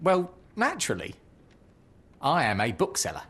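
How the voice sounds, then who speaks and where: An actor playing a timid, apologetic, lovelorn bookseller in a video game, meek and hesitant with a husky voice. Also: male voice, fairly high pitch, huge pitch swings, moderate pace, bright, forceful tone, exaggerated voice. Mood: flustered. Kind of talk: video game cutscene acting.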